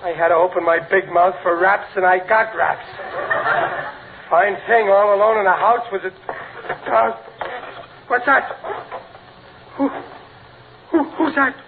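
An actor playing a bumbling, good-hearted middle-aged American father in old radio comedy, stammering and folksy with rough working-class grammar. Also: high-pitched, unhurried, long pauses. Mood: frightened. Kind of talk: 1940s-50s radio comedy acting. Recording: noisy, tinny vintage radio recording.

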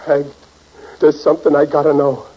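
A man's voice: on the high side, completely flat delivery, normal speed, lightly acted. A man playing an exasperated working-class American family man in a 1940s radio comedy, stammering and griping. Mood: panicked. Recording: noisy, muffled 1940s radio recording.

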